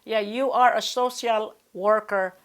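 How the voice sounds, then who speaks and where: A woman's voice: on the high side, fairly even intonation, unhurried. Woman hosting an interview. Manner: formal, announcer-like introductions with slips. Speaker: interview show host.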